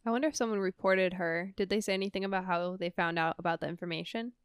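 A woman's voice: fairly low pitch, fairly even intonation, fast, clean tone, no echo, studio quality. A young woman co-hosting a podcast, reacting with short comments and questions in a casual chat. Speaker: podcast co-host.

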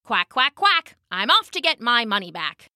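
Give this storybook duck , played by a woman, a high, bright voice that swings eagerly. He is a small, polite, cheerful fellow with a quacking, chanting way of talking and real determination. The sound is close and dry. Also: sing-song, moderate pace, dry recording, heavily acted. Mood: determined. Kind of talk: children's storybook character voice.

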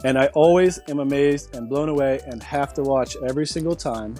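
A man's voice: mid-range voice, a little fast, a little mellow, soft background music. A middle-aged man co-hosting a podcast, telling stories with enthusiasm in casual chat. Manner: enthusiastic storyteller. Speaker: podcast co-host.

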